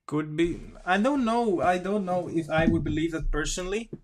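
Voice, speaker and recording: A young man whose first language is not English, hosting a casual livestream and talking through the topic while reading articles aloud in a halting, self-correcting way. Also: fairly high pitch, a little hiss or hum. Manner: halting, self-correcting, reads slowly and stumbles. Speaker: livestream host.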